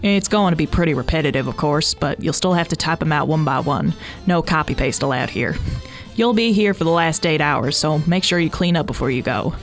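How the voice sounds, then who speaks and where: A woman voicing a surly older male security guard with a low, folksy, curt delivery in a story. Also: expressive, a little fast, soft background music. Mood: gruff, matter-of-fact.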